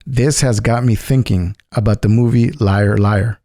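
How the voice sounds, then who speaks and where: A man's voice: on the low side, fairly even intonation, measured pace, noisy background. A man reading a scripted political polemic as narration. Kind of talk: scripted narration, polemical essay read aloud.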